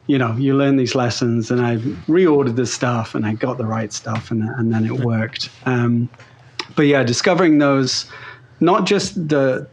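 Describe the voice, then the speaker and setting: A man with a mixed British and Australian-sounding accent, a guest talking casually in an interview, rambling with many fillers and restarts. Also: medium pitch. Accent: neutral British-Australian blend. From England. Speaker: guest pedal builder.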